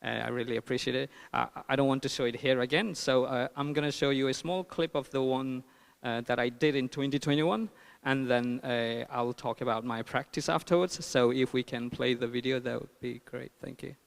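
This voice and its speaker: A man from eastern Nepal with a Nepali accent gives an informal conference talk as an artist, haltingly searching for words. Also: mid-range voice, steady tone, vocal fry. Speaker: artist and filmmaker presenting his work. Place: large hall at a public talk. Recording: reverberant hall.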